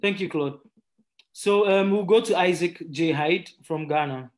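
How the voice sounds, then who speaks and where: A man's voice: high-pitched, a little slow. A man moderates an online panel in a courteous, conversational way, inviting speakers and posing questions. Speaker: webinar moderator.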